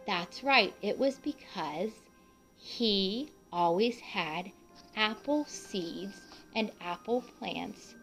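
A woman reading a picture book aloud to young children, pausing to explain things simply and speaking to them directly as a teacher would. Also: medium pitch, low-quality recording. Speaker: children's read-aloud host and art teacher.

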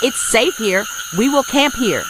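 A woman voicing a small, curious, excitable cartoon cat in scripted dialogue. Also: on the high side, measured pace, heavy background noise. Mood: matter-of-fact. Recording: loud music behind the voice.

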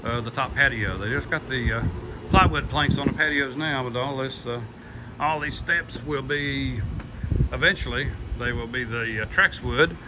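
An older man giving a chatty, folksy walkthrough of his house under construction, filming on his phone and filling pauses with "uh". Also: medium pitch, heavy background noise. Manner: folksy, chatty, with many "uh" fillers and "yeehaw". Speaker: vlogger touring his house build. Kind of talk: casual walkthrough narration. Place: unfinished wooden house frame, indoors and on outdoor steps. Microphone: phone camera held by the speaker.

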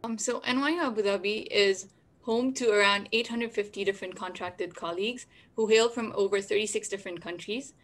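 A woman in her twenties from Nepal, giving a steady, formal welcome as co-moderator over a webcam. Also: mid-range voice, fairly quick. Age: 20s. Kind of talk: scripted welcome. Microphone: video call webcam.

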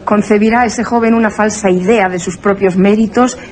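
A woman playing a flirtatious London society lady in a radio drama, breathy and theatrical. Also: mid-range voice, steady tone, moderate pace, darkish tone, slight background noise, natural voice. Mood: gossipy, enthralled. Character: flirtatious high-society London woman, fascinated and fearful of a vampire. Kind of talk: Spanish radio theatre acting.

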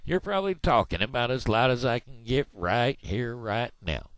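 A man's voice: mid-range voice, lively intonation, normal speed, a bit bright, clean tone, no echo. A man in his fifties from Missouri, rambling in a folksy, drawling way on his video blog, speaking into a headset mic in a small room. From Missouri, USA. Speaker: video blogger. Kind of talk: casual rambling monologue. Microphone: headset microphone.

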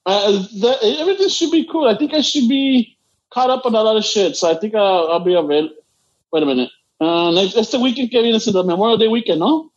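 A man's voice: high voice, brisk, muffled recording. A man with a Chicano Los Angeles accent, co-hosting a casual podcast chat, rambling, swearing and switching between English and Spanish. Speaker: podcast co-host.

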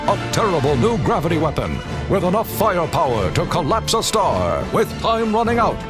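A man's voice: sits high, sing-song, normal speed, over music. A man playing a declamatory wartime newsreel announcer, narrating a game with dramatic emphasis. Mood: urgent, dramatic.

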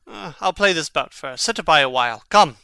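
An actor playing a bold, biting young prince with crisp theatrical diction in a Shakespeare audiobook. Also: male voice, on the high side, lively intonation, normal speed, sharp tone, no room sound, exaggerated voice. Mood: sporting, taunting. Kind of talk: dramatic Shakespeare audiobook reading.

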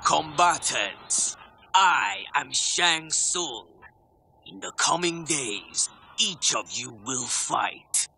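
Sharp, haughty old sorcerer. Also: male voice, fairly high pitch, lively intonation, extremely slow, slight background noise, heavily acted. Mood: imperious. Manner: theatrical, commanding proclamations. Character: sinister old sorcerer, grand tournament master, haughty. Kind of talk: video game villain acting.

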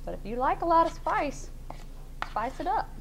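A woman's voice: high voice, big pitch swings, moderate pace, gravelly, background noise. A woman, hosting a casual cooking demonstration in a studio kitchen with a chatty, friendly way of talking. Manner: chatty and friendly, with y'all and fillers. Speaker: cooking show host. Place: large studio kitchen indoors.

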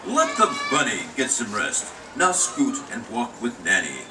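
A man's voice: medium pitch, wildly swooping pitch, measured pace. Man playing a commanding, swooping-voiced doctor. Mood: brisk, reassuring. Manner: emphatic, authoritative. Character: brisk, commanding male doctor. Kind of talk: children's puppet show acting. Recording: echoey, noisy puppet theater, distant.

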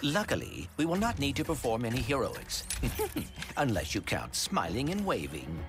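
A man's voice: medium pitch, huge pitch swings. A man voicing a small, logical robot sidekick in a video game, speaking formally and precisely. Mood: wry. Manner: formal, precise, measured wording. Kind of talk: video game cutscene acting. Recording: loud music behind.

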